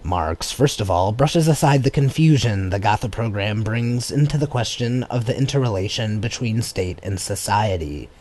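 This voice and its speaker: A man narrating a political text as an audiobook in a measured, formal manner. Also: fairly low pitch, animated, darkish tone. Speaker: audiobook reader.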